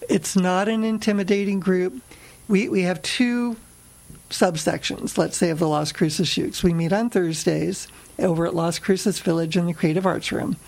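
A woman's voice: on the low side, steady tone, normal speed, vocal fry, darkish tone, poor audio. A woman in an interview about her music club, talking cheerfully and laughing often. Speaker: ukulele club member. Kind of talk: casual interview chat.

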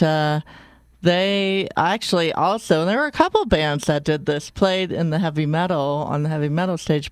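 An older woman with a naturally deep voice hosts a community radio show, talking casually and eagerly. Her tone is smooth and resonant with a gravelly edge, and the sound is close and dry with no room behind it. She wanders off track and corrects herself as she goes. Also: no echo. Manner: rambling and enthusiastic, often correcting herself. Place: radio studio.

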